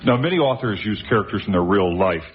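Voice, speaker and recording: Low-voiced male radio host. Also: expressive. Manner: courteous, with short uh pauses. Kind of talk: broadcast interview questions. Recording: muffled broadcast recording.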